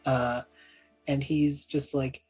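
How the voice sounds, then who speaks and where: A woman with a husky voice chatting casually and rambling as a player in a tabletop game. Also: rumbling low, little pitch movement, takes it slowly, a little mellow, faint music behind. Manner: rambling, self-deprecating, frequent throat clearing.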